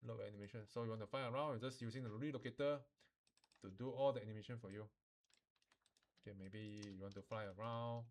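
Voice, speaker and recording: A man gives an informal, step-by-step software tutorial to students, switching between languages and often checking that they follow. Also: lowish voice, steady tone, quick pace. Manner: switches between Mandarin and English, repeating explanations. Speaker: animation software instructor.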